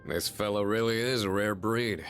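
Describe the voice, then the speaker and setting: An actor playing a calm young man from a monster-fighting team in a video game. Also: fairly low pitch, moderate pace, soft background music, close to an everyday voice. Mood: calm, concerned. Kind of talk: English video game dub acting.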